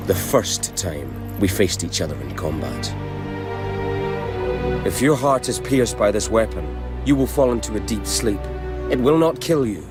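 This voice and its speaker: An actor playing a solemn, resolute warrior son in a dark fantasy game, speaking with measured, earnest, portentous delivery. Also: male voice, lowish voice. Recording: music under the voice.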